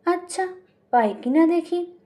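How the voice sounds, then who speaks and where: A woman's voice: extremely high pitch, lively intonation, takes it slowly, pitched above the performer's own voice, heavily acted. A woman reader playing a defiant, demanding widow in a storybook, insistent and sarcastic in manner. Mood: quietly challenging. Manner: sharp-tongued, insistent, sarcastic. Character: defiant, demanding widowed Bengali sister-in-law, head of kitchen.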